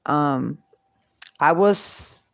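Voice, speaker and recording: Middle-aged woman, New York Puerto Rican accent. Unscripted personal storyteller, unhurried and halting, recorded dry. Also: deep voice, creaky, no room sound. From Puerto Rico and New York. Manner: halting, with long pauses between short phrases. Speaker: vlogger telling her life story.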